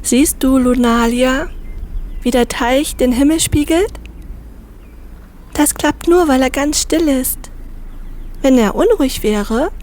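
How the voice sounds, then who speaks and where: A woman voicing a kind, encouraging little fish in a fairy-tale audio story, gentle and reassuring. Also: high-pitched, slow pace, long pauses. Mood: calm, wise.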